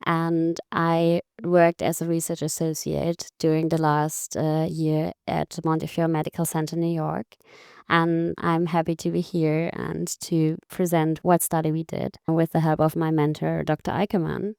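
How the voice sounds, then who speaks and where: Young woman, low flat voice, careful explaining, dry close sound. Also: measured pace, creaky, smooth, no echo. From Germany. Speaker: medical student, guest. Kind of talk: interview answers.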